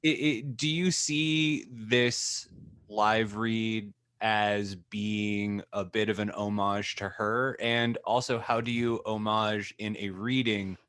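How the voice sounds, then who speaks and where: A man posing a press conference question as a journalist, measured and a little hesitant. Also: on the low side, steady tone, a bit bright, clear, resonant tone, dry recording, clean studio sound.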